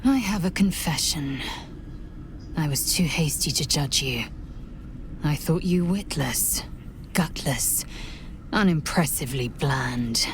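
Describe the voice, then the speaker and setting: A woman's voice: low voice, animated, takes it slowly, pauses often, slight background noise. A woman playing a stern, proud alien warrior in a fantasy video game, formal, clipped and imperious in manner. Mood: intense, yearning. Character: stern, haughty female githyanki warrior, proud and intense. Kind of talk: video game character acting.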